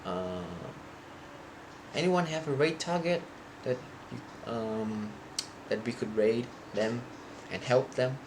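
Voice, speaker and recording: A young man, a native Thai speaker, chatting loosely to his live stream viewers, often halting and restarting as he searches for words. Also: mid-range voice, background noise. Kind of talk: casual stream chat to viewers.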